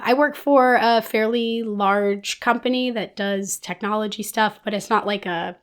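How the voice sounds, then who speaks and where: A woman hosting a knitting podcast in a casual, rambling chat, her voice hoarse as she recovers from being sick. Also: mid-range voice, fairly bright tone. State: hoarse, under the weather with a recovering lost voice.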